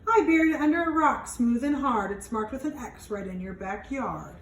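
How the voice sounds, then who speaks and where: A woman's voice: fairly high pitch, normal speed, close to an everyday voice. A woman reading a storybook aloud, voicing a tiny, tricky male leprechaun who gleefully teases. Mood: sly. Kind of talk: read-aloud storybook character voice. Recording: roomy, distant mic, faint noise.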